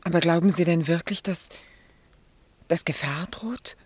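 Middle-aged mother, gentle, anxious, breathy, hesitant, radio drama, dry close sound. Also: on the low side, a little slow, no room sound. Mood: uneasy, soft. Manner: soft, hesitant, genteel. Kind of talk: German radio drama acting.

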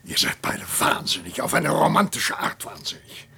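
Formal professor in a radio drama, creaky raspy voice, authoritative, reasoning. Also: male voice, a little high, sing-song, moderate pace, pushed and bright, exaggerated voice. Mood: alarmed, pleading. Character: indignant, rattled male professor, authoritative and reasoning. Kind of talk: German radio play acting.

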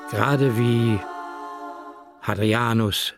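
Slow, solemn philosopher over music. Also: male voice, medium pitch, steady tone, vocal fry. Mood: solemn, exhorting. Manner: slow, declamatory. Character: solemn Stoic philosopher-emperor reciting maxims.

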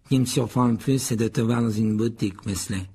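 A sneering storybook schoolboy, played by a male narrator. He taunts quickly and sarcastically in a very husky voice, always looking for someone to mock. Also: medium pitch, fairly even intonation, warm tone. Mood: scornful. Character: snide, mocking schoolboy bully. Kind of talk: audiobook character voice.

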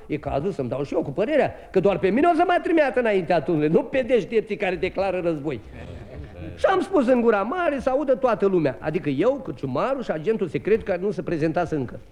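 An actor playing a cheerful, rambling Czech soldier who plays the naive, obedient fool in a 1950s radio drama. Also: male voice, sits high, darkish tone.

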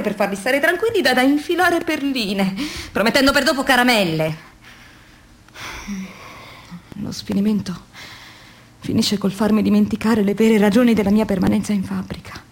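A weary, husky woman factory worker. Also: on the high side. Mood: disillusioned, weary. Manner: introspective, theatrical, shifting between murmurs and emphatic phrases. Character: weary young woman factory worker, reflective, irritated, demoralized. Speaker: actress. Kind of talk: dramatic radio theatre monologue, diary reading.

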